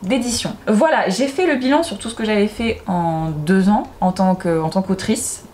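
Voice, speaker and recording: A woman who writes and self-publishes, talking casually to her viewers at a middle pitch. She is upbeat and chatty and thinks aloud as she goes. A faint hiss sits under her voice. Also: normal speed, a little mellow. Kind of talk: casual chat to camera.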